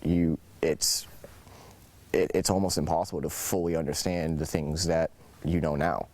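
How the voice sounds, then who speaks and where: A young man of about twenty in an interview, speaking haltingly and repeating phrases. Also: extremely low pitch, fairly even intonation, a little mellow. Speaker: anonymous interviewee. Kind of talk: personal account.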